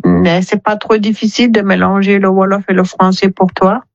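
Woman from Senegal, gentle interviewer, muffled phone-message sound. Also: medium pitch, flat delivery, normal speed, creaky, no room sound. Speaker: mother and caller. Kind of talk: interviewing her daughter at home. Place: home. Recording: dull lo-fi voice message, dry.

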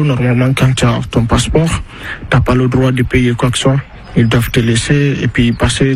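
A young man giving spontaneous testimony, matter-of-fact and circling back over his words, in a field recording. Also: mid-range voice. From Guinea. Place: migrant camp, under tarpaulins. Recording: field recording with background noise.

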